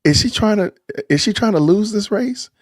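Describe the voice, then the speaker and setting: Man, livestream host, animated swooping pitch, dry close sound, excitable. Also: normal speed, no room sound. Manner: excitable, exclaims loudly. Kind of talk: casual livestream chat.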